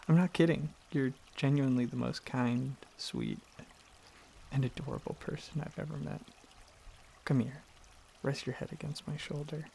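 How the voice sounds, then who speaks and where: A man playing a gentle, affectionate dragon boyfriend in an intimate ASMR roleplay, speaking softly and earnestly with some hesitant rambling. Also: medium pitch, steady tone, measured pace, long pauses, a little hiss or hum. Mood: loving, tender. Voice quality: soft, husky, slightly gravelly, breathy.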